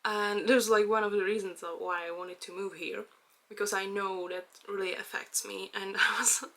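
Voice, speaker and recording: A woman's voice: medium pitch, little pitch movement, moderate pace, heavy background noise. A young woman from the Czech Republic with a Czech accent, speaking English in a hesitant personal vlog, with many fillers and small laughs. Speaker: vlogger. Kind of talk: casual personal chat.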